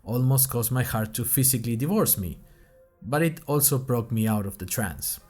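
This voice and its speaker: A man playing a bemused university student who narrates a first-person audio diary in a dry, musing, deliberate way. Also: medium pitch, animated, music in the background, natural voice. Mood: bemused, uneasy. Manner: dry, wry, musing in short deliberate phrases. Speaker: narrator of a fiction podcast.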